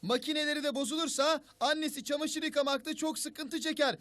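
A man's voice: very high voice, steady tone, brisk, fairly bright tone, no room sound. A man voicing an earnest, polite young character in an educational children's cartoon, explaining things instructively.

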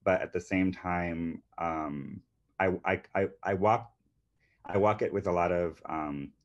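A man in his thirties presents a business workshop from home over a video call. His voice sits very low, and he talks earnestly, with plenty of fillers and restarts. The call audio sounds dull and lo-fi. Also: measured pace. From grew up in Panama. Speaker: marketing agency founder presenting a workshop. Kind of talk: webinar presentation. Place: indoors on a video call with a virtual beach background. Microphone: computer mic on a video call.

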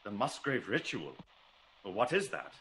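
An actor playing a keen, commanding detective, probing and theatrical, in a classic radio drama. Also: male voice, fairly low pitch, big pitch swings, normal speed, vocal fry, fairly bright tone, heavily acted. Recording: noisy old radio recording.

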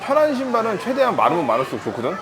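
A man's voice: high voice, wildly swooping pitch, very fast. A man talking casually as a vlog host, with a playful, teasing manner. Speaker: vlog host, husband in a couple channel. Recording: busy noisy urban public places.

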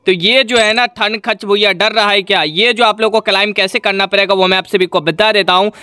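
High, bright-voiced man presenting a gaming tutorial. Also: fairly even intonation, a little fast, clear, resonant tone, dry recording, clean studio sound. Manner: instructional, repetitive, addressing viewers directly. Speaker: gaming channel host. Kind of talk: scripted-sounding gaming tutorial voice-over.

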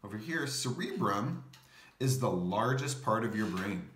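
Male read-aloud narrator for a children's picture book: animated pitch, slow explanatory delivery. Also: echoey room, slight background noise. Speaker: storybook reader.

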